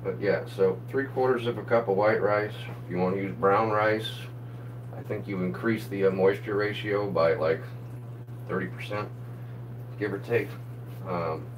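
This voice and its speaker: Hoarse man livestreaming his cooking. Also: sits low, a little slow, long pauses, recorded from a distance, slight background noise, rough recording. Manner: chatty, greets viewers by name while cooking. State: voice going hoarse, getting sick. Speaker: home cook livestreaming. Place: home kitchen. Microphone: phone camera.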